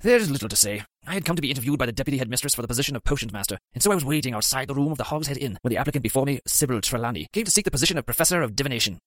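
Man doing a potions master, grave, formal, pained, very fast, dry sound. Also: mid-range voice, animated, a bit bright, no room sound, voice pushed up, close to an everyday voice. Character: grave, guilt-ridden male potions master.

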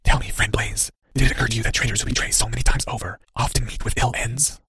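A man voicing a mysterious, sly cloaked figure in an audiobook, in a dry, breathy whisper with courteous veiled menace. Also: mid-range voice, steady tone, bright, forceful tone, no room sound. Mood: quietly menacing. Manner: slow, courteous veiled threats. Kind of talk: audiobook character voice.

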